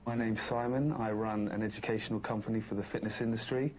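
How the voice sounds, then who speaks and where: Man, 30s, from England, lowish, contestant. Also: steady tone, fast, a little mellow, muffled recording, a little hiss or hum.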